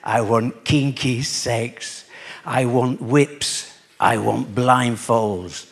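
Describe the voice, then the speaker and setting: A stand-up comic plays a lonely husband on a secret phone call, a man hungry for kinky thrills. The voice sinks low and hushed, each request slow and deliberate. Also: gravelly. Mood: furtive, needy.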